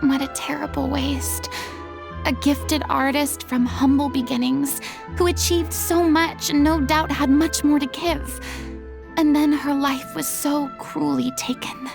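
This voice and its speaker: A woman's voice: high voice, fairly even intonation, a little slow, vocal fry, music in the background. A woman playing a proud, grandiose young former celebrity performer in a video game, with florid theatrical delivery. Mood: sympathetic, grave.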